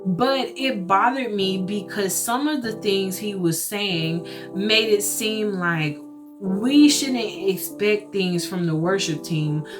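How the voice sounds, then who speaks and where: A woman with a loud, carrying voice telling a personal faith story in casual, earnest and emphatic talk with rhetorical asides. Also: mid-range voice. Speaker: churchgoer sharing a personal testimony. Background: soft guitar music.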